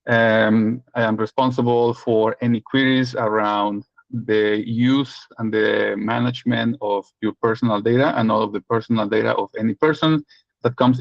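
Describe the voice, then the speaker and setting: A man's voice: fairly low pitch, no echo, muffled recording. A man with a Mexican accent giving a legal update on a community video call, expansive and joking in long winding sentences. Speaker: legal and data protection lead.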